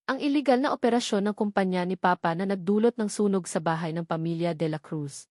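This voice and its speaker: A woman's voice: medium pitch, a little mellow, dry recording. Dry, close-up Filipino woman narrating drama. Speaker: story narrator. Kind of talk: dramatic first-person scripted narration of a love story.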